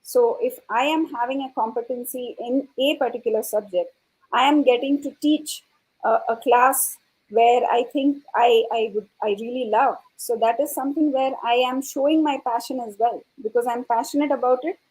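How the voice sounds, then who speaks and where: Female panelist, high-pitched and husky, explaining ideas in a discussion with thoughtful breaks. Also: normal speed, darkish tone, rough recording. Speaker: panelist, educator.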